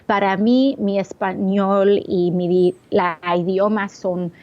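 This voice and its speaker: A young woman from the Bronx speaks as an interview guest, feeling her way through each sentence in her second language. She restarts phrases and pauses on fillers. Her voice sits at an ordinary pitch and comes through slightly muffled. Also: fairly even intonation. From the Bronx, New York. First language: English. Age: young adult. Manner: hesitant, searching for words, frequent restarts. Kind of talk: interview answers.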